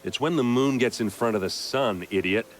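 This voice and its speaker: A man's voice: mid-range voice, moderate pace, soft background music, natural voice. A man playing a brash, loyal, joking soldier captain in a video game, blustery and teasing. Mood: wry, familiar. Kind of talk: video game cutscene acting.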